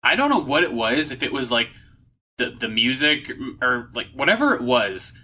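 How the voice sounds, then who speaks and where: Man, very sharp bright tone, mid pitch, casual slangy podcast host, comedic hyperbole. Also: sing-song, normal speed. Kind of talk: casual comedic recap chat.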